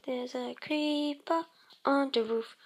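A woman casually narrating her game session, croaky and clearing her throat, rambling with many pauses and humming to herself. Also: high-pitched, steady tone. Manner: slow, rambling, many ums, hums tunes while playing. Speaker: let's play host. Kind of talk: casual gameplay commentary.

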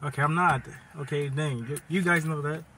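A man's voice: on the high side, fairly even intonation, fairly quick, bright, forceful tone, slight background noise. An eighteen-year-old man hosting a casual vlog from inside a car, joking and teasing in a laid-back way, filmed on a phone held at arm's length. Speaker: vlogger. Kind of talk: casual chat. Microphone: phone camera at arm's length.

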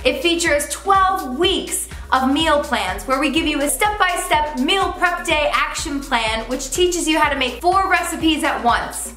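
A young woman co-hosting a home cooking video, chatty and upbeat as she gives recipe steps and promotes the channel. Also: sits high. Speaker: cooking show co-host. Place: home kitchen. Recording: echoey kitchen with faint music.

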